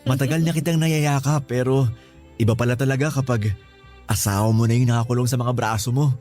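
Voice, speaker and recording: An actor playing an earnest, devoted and excitable young groom in a radio drama, with a Filipino accent. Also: male voice, medium pitch, fairly quick, soft background music, lightly acted. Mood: happy, tender. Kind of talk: radio drama acting in Tagalog.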